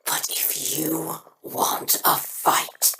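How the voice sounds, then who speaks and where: An actress playing a proud, vengeful alien warrior woman in a video game, speaking with slow menace. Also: low-pitched, fairly even intonation, sharp tone, in a whisper, heavily acted. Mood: menacing. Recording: roomy echo with noise.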